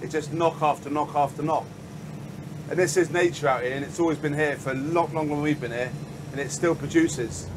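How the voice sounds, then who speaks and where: A man's voice: a little high, fairly even intonation, fairly quick. A middle-aged man with a British accent, a fisherman speaking casually in an interview. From Britain. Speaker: small-boat fisherman. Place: cabin of a small boat at sea. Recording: clear engine noise on a boat.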